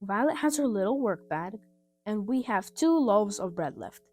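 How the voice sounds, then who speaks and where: A woman voicing a caring, polite, gently bossy orphan girl, an older sister, in a storybook reading. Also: medium pitch, animated, moderate pace, close to an everyday voice. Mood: practical.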